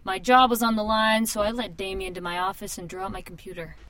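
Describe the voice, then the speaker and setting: A woman's voice: medium pitch, quick pace, a bit bright, no room sound, lightly acted. A woman playing a nervous young female tattoo artist in a radio drama, informal and self-conscious, both narrating and in dialogue. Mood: confiding, wry. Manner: informal, hesitant in dialogue, confiding when narrating. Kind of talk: radio drama acting with first-person narration.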